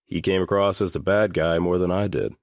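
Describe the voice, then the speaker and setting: A man playing a guarded seventeen-year-old boy in a full-cast audiobook, speaking in a deliberate, matter-of-fact way. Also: low-pitched, fairly even intonation, no echo, studio quality. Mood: frank, determined. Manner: deliberate, matter-of-fact, swears now and then.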